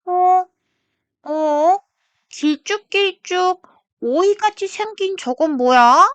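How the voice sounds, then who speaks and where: Lady doing a curious little kid in a storybook, super high voice, slow wondering questions, dry sound. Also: fairly bright tone, no room sound, heavily acted. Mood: surprised curiosity. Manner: wide-eyed, drawn-out questioning. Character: curious, surprised small child asking questions. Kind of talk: children's storybook read-aloud.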